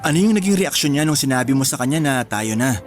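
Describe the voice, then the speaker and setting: Gentle young man in love, acted. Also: medium pitch, lively intonation, quick pace, close to an everyday voice. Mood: teasing. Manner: soft-hearted, earnest, lightly teasing. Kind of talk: Filipino radio romance drama acting. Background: music.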